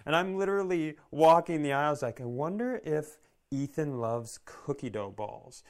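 A man's voice: on the high side, expressive, normal speed. A man co-hosting a podcast in casual comedic chat, self-mocking and acting out little quotes as he talks. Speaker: podcast co-host.

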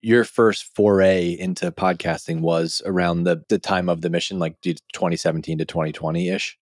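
A man's voice: deep voice, fairly even intonation, normal speed, no room sound, clean studio sound. A man talking as a podcast host in a casual, colloquial, informal way. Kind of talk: casual interview chat and scripted ad read.

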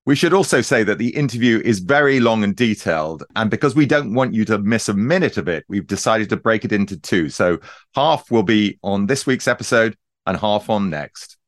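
A man hosting a podcast, reading a measured, formal introduction and questioning a guest. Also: fairly low pitch, expressive. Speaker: podcast host. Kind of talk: scripted show introduction.

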